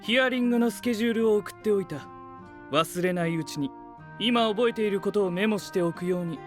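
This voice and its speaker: An actor playing a composed, intellectual young knight in an anime voice drama, precise and businesslike. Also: male voice, high voice, fairly quick, music in the background, lightly acted.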